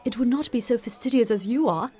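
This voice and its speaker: An enthusiastic, sociable young gentleman as put on by a woman narrator in a classic novel reading. The voice is lifted and emphatic, brimming with eagerness. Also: fairly high pitch, quick pace, husky, soft background music. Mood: eager, admiring. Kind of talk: audiobook character voice.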